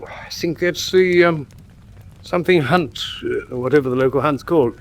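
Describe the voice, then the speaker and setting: An actor with an English accent playing an earnest, good-natured gentleman companion in a radio drama, polite, hesitant and mildly puzzled. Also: a little high, moderate pace, lightly acted. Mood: tentative. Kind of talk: classic British radio drama acting.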